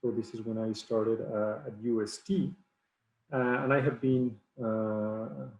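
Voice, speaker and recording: A middle-aged man with a Mexican accent, a native Spanish speaker, introducing himself informally and haltingly, with frequent pauses. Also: mid-range voice, fairly even intonation, gravelly, a little mellow. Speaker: professor on a panel. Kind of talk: informal self-introduction on a video call. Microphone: video call. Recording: roomy, muffled video-call audio.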